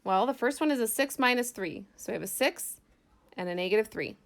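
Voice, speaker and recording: A woman's voice: fairly high pitch, lively intonation, a little fast. A woman math teacher giving an informal lesson, thinking aloud as she explains worked examples step by step in a casual way.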